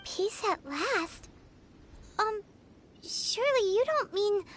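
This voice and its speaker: A woman voicing a tiny childlike fairy companion in a video game, with a squeaky, cutesy and blunt way of talking. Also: expressive, takes it slowly, a bit bright, a little hiss or hum, full character voice. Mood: puzzled. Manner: cutesy, refers to self in third person. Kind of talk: video game character acting. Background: music.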